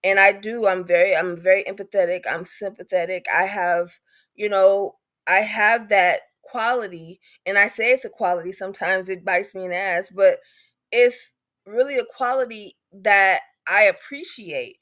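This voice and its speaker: A woman's voice: mid-range voice, normal speed, fairly bright tone. A woman, speaking candidly and emphatically as a patient advocate in a panel discussion, repeating points for stress. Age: 30s.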